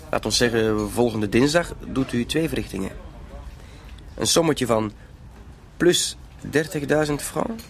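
A man's voice: mid-range voice, creaky, close to an everyday voice. A man playing a self-assured blackmailer in a radio drama, with a mock-polite, insinuating way of talking.

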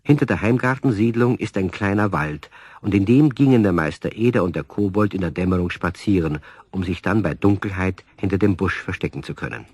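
Male narrator for children's radio story: deep, slightly rough voice, scripted storytelling, dry studio sound. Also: brisk, a little mellow, no room sound.

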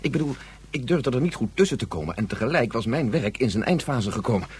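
A man's voice: mid-range voice, big pitch swings, fast, a little mellow, slight background noise, lightly acted. An actor playing a tense, guilt-ridden scientist father in a radio drama, hesitating and restarting as he speaks. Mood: defensive. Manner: stammering, keeps saying "I mean".

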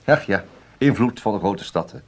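A man's voice: lowish voice, lively intonation, moderate pace, a little mellow. An actor playing a chatty police detective in a radio play, politely bashful and hesitant while he pries, repeating himself. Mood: apologetic, probing. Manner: hesitant, repetitive, disarmingly polite. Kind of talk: radio drama acting.

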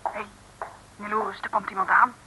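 A woman's voice: mid-range voice, flat delivery, brisk, background noise, lightly acted. An actress playing a plucky, polite young woman, a detective's sidekick, in an old radio serial. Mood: reassuring.

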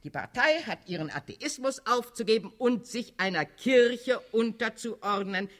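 Radio drama role: old cardinal statesman, coldly authoritarian zealot, animated rhetorical sweep, cutting bright edge. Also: very high voice, a little slow, exaggerated voice. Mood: fanatical, solemn. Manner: declamatory, sardonic, chuckling rhetoric. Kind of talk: German-language radio drama acting.

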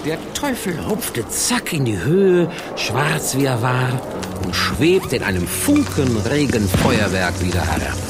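A wry fairy-tale narrator in a scripted radio play. He takes his time with lively intonation and clipped phrases, over loud music. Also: mid-range voice. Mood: wry, relishing. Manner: deliberate, clipped listing phrases.

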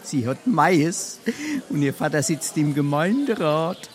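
An actor playing a wily, boastful drinker and scrounger in a stage comedy, glib and theatrical in Darmstadt Hessian dialect. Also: male voice, a little high, animated, slow.

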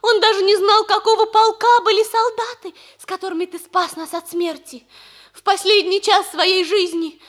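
Молодая актриса играет нежную влюблённую дочь, которая говорит жалобно и сентиментально, в манере советской радиокомедии шестидесятых. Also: female voice, very high-pitched, steady tone, moderate pace. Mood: rapturous. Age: young adult. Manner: pleading, melodramatic. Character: sweet, lovelorn young daughter, plaintive and sentimental. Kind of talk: 1960s Soviet radio comedy acting.

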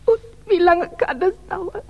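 An actress playing an anxious, tender wife in a 1960s German radio crime drama, speaking hesitantly and with emotion. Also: female voice, extremely high pitch, measured pace. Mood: anxious, subdued. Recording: dull, band-limited 1960s radio recording.

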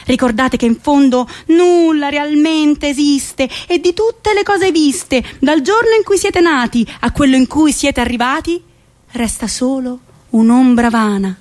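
A woman's voice: high voice, measured pace, a little mellow. An actress playing a spirited, slightly eccentric young woman of today in a theatrical monologue for a radio play. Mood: declaiming. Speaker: stage actress.

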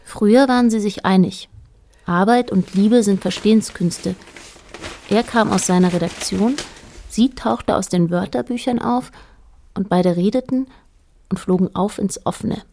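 An actress playing a detached, observant narrator in a radio drama, speaking in a measured, matter-of-fact way. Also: female voice, mid-range voice, vocal fry, mellow, slight background noise.

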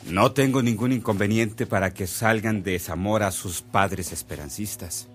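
Actor as a cold, deep-voiced bishop. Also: male voice, a little slow, natural voice. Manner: cold, formal. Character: cold, authoritative bishop, curt and dismissive. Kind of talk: radio drama acting. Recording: music under the voice.

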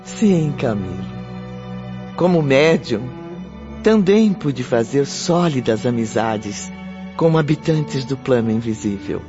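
An actor playing a reflective, grateful spiritist medium, narrating solemnly in a radio drama. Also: male voice, medium pitch, expressive, slow. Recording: dull lo-fi audio. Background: music.

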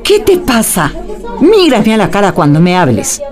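A woman's voice: mid-range voice, wildly swooping pitch, moderate pace, a little mellow, faint music behind. A woman acting a harsh, scornful older mother-in-law in a radio drama, with a Peruvian accent and an imperious, scolding manner. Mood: stern, scornful.

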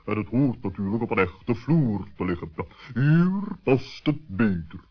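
A man's voice: on the low side, big pitch swings, a little slow. A man voicing a solemn, critical male forest animal in a children's radio play, ponderous and hemming. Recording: muffled vintage 1960s radio recording.

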